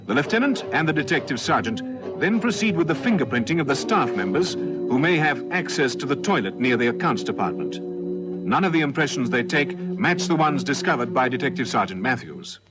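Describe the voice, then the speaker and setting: Guy being serious old radio crime show narrator, mid voice, loud music under him. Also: mid-range voice, big pitch swings, a little fast, natural voice. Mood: grave, factual. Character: formal, serious male police drama narrator. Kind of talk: scripted narration, vintage radio drama.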